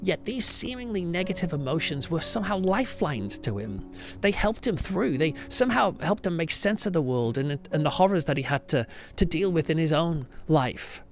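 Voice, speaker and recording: A man's voice: a little high, animated, normal speed. A man hosting a podcast in a reflective, semi-scripted monologue, earnest and thoughtful, with emphatic, dramatic phrasing. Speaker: podcast host, church pastor. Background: background music.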